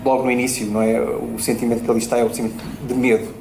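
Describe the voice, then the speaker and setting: A man's voice: mid-range voice, little pitch movement, racing, gravelly, darkish tone. Portuguese guy on a panel, lots of ums, echoey room, a bit of noise. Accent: European Portuguese. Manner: hesitant, many fillers and tag questions. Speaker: debate panelist. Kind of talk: public panel discussion. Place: event space at a bookshop. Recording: roomy hall with faint noise.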